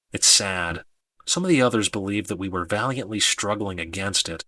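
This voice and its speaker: A male storyteller delivers scripted narration with a lively, swinging pitch. His tone is bright and forceful, and he places deliberate stress on key words. The sound is dry, with hardly any room to it. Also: no echo. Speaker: audiobook narrator.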